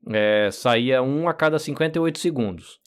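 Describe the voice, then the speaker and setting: Um homem brasileiro de uns quarenta anos, convidado de um bate-papo, falando relaxado e brincando consigo mesmo, com sotaque paulista neutro. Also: male voice, mid-range voice, moderate pace, smooth. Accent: neutral São Paulo Portuguese. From Brazil. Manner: relaxed, self-joking storyteller. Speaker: guest. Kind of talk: casual interview chat.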